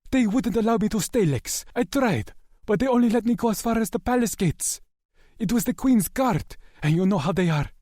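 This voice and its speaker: A young man cracks under grief, his voice strained and pushed high, as a male narrator puts him on. He pleads and apologizes with rising urgency. The tone stays husky and dry. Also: expressive, normal speed, dark tone, no echo. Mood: urgent. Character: young man, grief-stricken and desperate friend.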